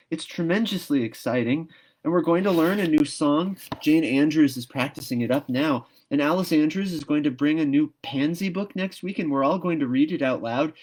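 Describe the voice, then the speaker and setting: A man's voice: a little high, darkish tone, voice pushed up, lightly acted. A man voicing a young schoolgirl best friend in a storybook, mournful, tearful and coaxing.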